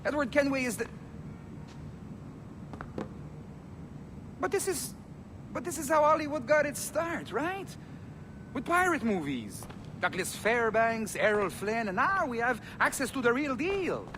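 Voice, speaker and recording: A man's voice: extremely high pitch, big pitch swings, normal speed, background noise. A man playing a breezy, self-important company executive with a French Canadian accent, chatty and casual, in a video game scene. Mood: enthusiastic. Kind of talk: video game cutscene acting.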